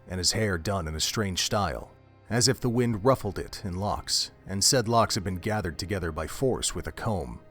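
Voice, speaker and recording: A man narrating a scripted audio drama in a measured, descriptive storytelling manner. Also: on the low side, a bit bright.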